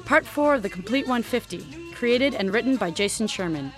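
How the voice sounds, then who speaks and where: A woman reads closing credits in a measured, formal voice. Soft music plays beneath. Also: on the high side, animated, rough recording. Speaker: podcast credits announcer. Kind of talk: scripted announcement.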